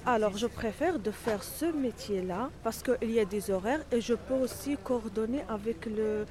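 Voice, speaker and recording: A middle-aged woman, a native Arabic speaker, answering interview questions haltingly in non-native French, searching for words. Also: a little high. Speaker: interviewee, home care worker.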